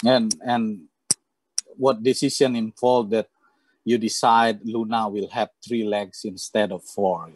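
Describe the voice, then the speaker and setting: A middle-aged man from Bali with an Indonesian accent, interviewing reflectively and haltingly over a video call on an earphone mic. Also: fairly low pitch, fairly even intonation, breathy edge, no echo, rough recording. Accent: Balinese Indonesian. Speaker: interviewer, moderator. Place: book-lined room, indoors, video call. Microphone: earphone headset mic.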